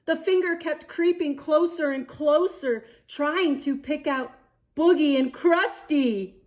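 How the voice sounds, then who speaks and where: A woman with a high voice reads a children's story aloud. Her pace is slow and deliberate, and her delivery is theatrical, shaped for young listeners. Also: darkish tone. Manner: theatrical, deliberate delivery with dramatic gasps and exclamations. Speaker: storyteller, channel host.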